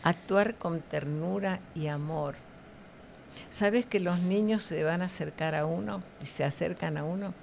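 A woman's voice: on the low side, unhurried, gravelly, a little mellow, slight background noise. A middle-aged woman giving devotional teaching in conversation, didactic and often checking for agreement with short tag questions.

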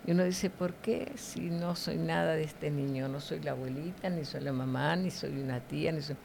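A woman's voice: low-pitched, normal speed, creaky, a little mellow, slight background noise. A middle-aged woman giving devotional teaching in conversation, didactic and often checking for agreement with short tag questions.

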